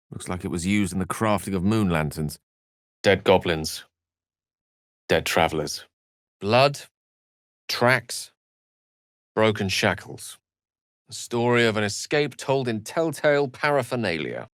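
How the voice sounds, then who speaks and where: A learned wizard from a fantasy video game, speaks in a low, very animated voice. He is wordy and articulate, courteous with a wry, theatrical edge. The recording is close and dry, free of any room sound. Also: a little slow, long pauses, dry recording. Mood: thoughtful. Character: eloquent, learned adult male human wizard, wry and courteous. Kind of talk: video game character voice lines.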